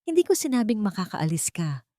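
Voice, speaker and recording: A woman's voice: mid-range voice, sing-song, brisk, warm tone, no room sound, studio quality, voice pushed up, close to an everyday voice. A woman voicing a cold, controlled, vengeful female schemer in an audio story. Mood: icy.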